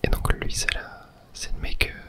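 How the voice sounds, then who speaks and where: Male ASMR gaming streamer. Fully whispered, breathy, casual play-by-play on a close mic. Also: moderate pace, fairly bright tone. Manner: casual, murmured reactions to gameplay. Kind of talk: whispered ASMR video game commentary.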